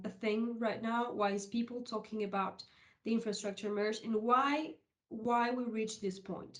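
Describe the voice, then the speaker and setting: She is a woman of thirty-one from Uruguay, speaking with a Uruguayan Spanish accent. Her voice sits at an average pitch for a woman. She presents informally in an online session, explaining things step by step with some hesitation. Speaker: presenter, HR technology consultant. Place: online video call session.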